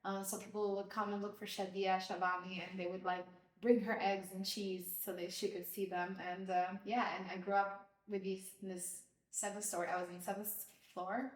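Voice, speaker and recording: A woman's voice: mid-range voice, flat delivery, moderate pace. Woman from Albania recalling her childhood. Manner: reflective storytelling with frequent fillers. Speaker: podcast host, mother of co-host. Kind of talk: casual personal conversation. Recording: roomy, distant from the mic.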